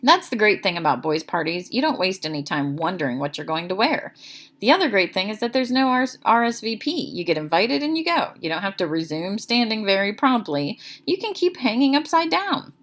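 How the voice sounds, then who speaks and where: A woman's voice: mid-range voice, very animated, fairly quick, a bit bright. A woman reading a children's chapter book aloud as narrator, expressively.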